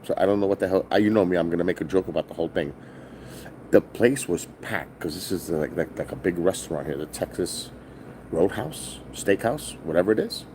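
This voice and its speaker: A loud-voiced middle-aged man, streaming casually from his phone. His voice sits a little low but swings widely in pitch as he tells a profane, rambling story. A faint hum of background noise sits under him. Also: expressive, brisk. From New York. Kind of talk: casual live-stream vlog. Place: hotel, indoors.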